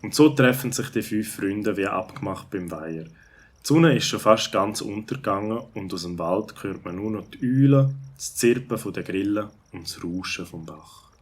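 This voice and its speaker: A man with a low, husky voice narrates a children's audio story. The delivery is scripted storytelling. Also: normal speed.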